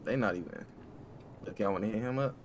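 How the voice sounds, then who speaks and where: A man's voice: on the low side, brisk, slight background noise. A man roleplaying in an online crime game, arguing his points repeatedly in heavy street slang. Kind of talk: in-character street gang banter in online game chat.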